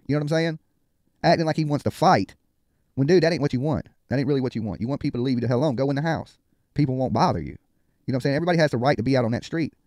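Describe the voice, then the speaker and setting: Fast-talking middle-aged man, blunt and streetwise. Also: medium pitch, a little mellow, no echo, studio quality. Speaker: livestream host reacting to a live video. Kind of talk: casual live commentary. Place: indoor home studio. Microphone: headset.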